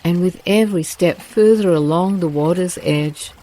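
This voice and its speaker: A woman guiding a meditation in a slow, soft, soothing voice. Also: lowish voice, low-quality recording. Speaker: meditation guide. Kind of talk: guided meditation narration.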